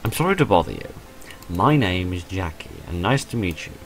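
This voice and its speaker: A man's voice: low voice, sing-song, moderate pace. A man voicing a sweet, earnest and apologetic young woman in a visual novel. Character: Jackie, sweet apologetic young woman seeking her lost dog.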